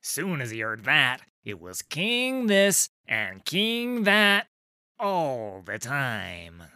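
A man voicing a dim, rough-spoken male troll who wheezes and gasps out his words, in a lively pitch that swings widely. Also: extremely slow, no echo, clean studio sound, exaggerated voice. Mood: gossipy.

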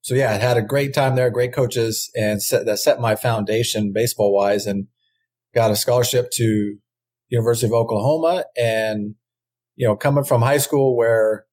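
A man in his forties from Southern California, answering questions as a guest in a casual podcast interview, rambling and halting with frequent restarts. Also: mid-range voice, steady tone, darkish tone. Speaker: guest, former baseball pitcher.

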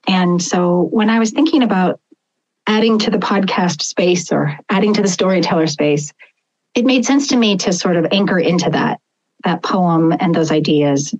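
A woman's voice: medium pitch, a little mellow. Thoughtful woman podcast host, slightly dull sound. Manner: thoughtful, measured questions. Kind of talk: interview conversation.

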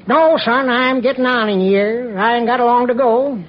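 An actor playing a stubborn old bachelor hog farmer in a radio comedy, with a laconic, folksy rural American drawl. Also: male voice, very high voice, moderate pace. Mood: stubborn, deadpan. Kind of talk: 1940s radio comedy acting. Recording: noisy muffled old radio recording.

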